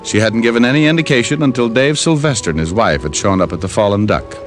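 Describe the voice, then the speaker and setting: An actor playing a wry, world-weary private detective in a hardboiled old radio drama. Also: male voice, on the low side, lively intonation, fast talker, faint music behind, natural voice. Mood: grim, narrating. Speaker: lead actor and narrator.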